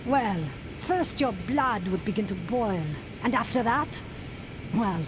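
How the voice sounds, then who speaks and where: Stern devoted villain officer in a game, high commanding voice, noisy background. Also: female voice, lively intonation, slow pace.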